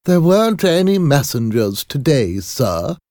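Man playing deferential servant, high lifted voice, slow careful pace, emphatic, storybook character. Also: sing-song, a little mellow, no echo, studio quality. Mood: respectful, firm. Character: respectful male servant, plain and certain. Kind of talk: audiobook character acting.

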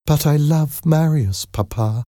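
Man voicing an earnest, high-pitched young woman in love. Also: measured pace, mellow, no room sound, studio quality, natural voice. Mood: pleading, in love. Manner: earnest, emotional pleading. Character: tearful, pleading young woman in love, devoted daughter.

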